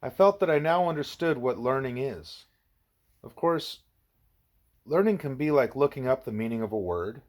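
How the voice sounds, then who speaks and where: A man's voice: medium pitch, lively intonation, normal speed, darkish tone. A man narrating a first-person novel as an audiobook reader.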